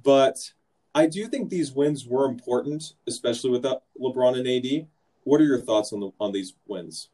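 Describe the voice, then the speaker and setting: A man's voice: mid-range voice, moderate pace, warm tone. A man hosting a casual sports podcast, laid-back and joking. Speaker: podcast host.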